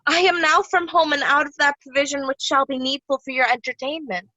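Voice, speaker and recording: A woman's voice: high voice, fairly even intonation, fairly quick, sharp tone, no echo, muffled recording. A woman playing a cool royal daughter, formally admonishing her old father in Shakespearean style. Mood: coolly firm. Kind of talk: Shakespearean reader's theatre acting.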